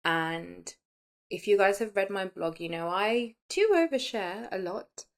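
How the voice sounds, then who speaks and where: A woman's voice: medium pitch, lively intonation, measured pace. A woman in her late thirties talking casually and candidly as the host of a personal podcast, in a chatty, conversational way. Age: late 30s.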